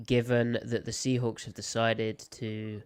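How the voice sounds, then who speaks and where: British man, snuffly, smooth voice. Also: mid-range voice, measured pace. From United Kingdom. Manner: animated, playful and opinionated. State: slight head cold, snuffly. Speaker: podcast host. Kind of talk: casual live podcast chat.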